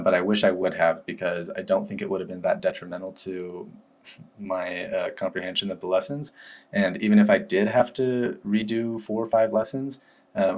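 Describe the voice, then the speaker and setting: A man talking casually to camera as a language-learning vlogger, relaxed and rambling, with frequent fillers and restarts. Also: low-pitched. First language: English. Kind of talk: casual review talk to camera.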